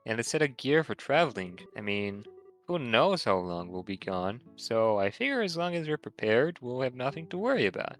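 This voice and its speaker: A man's voice: medium pitch, sing-song, moderate pace, faint music behind, close to an everyday voice. A man voicing a cheerful, impulsive teenage girl adventurer in an amateur read-through of a video game, with an exclamatory, bubbly delivery. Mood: sheepish, casual. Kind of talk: amateur voiced video game dialogue reading.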